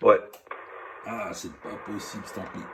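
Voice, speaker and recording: A man's voice: on the low side, fairly even intonation, normal speed, recorded from a distance, background noise. A man chatting casually over two-way radio as a hobby operator, speaking hesitantly, repeating himself and often apologetic.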